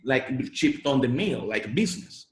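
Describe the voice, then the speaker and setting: A man's voice: mid-range voice, very animated, moderate pace, roomy sound. Puerto Rican man telling his story. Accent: Puerto Rican Spanish. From Puerto Rico. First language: Spanish. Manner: earnest, repeats words as he goes. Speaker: guest, online marketer. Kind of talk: interview storytelling.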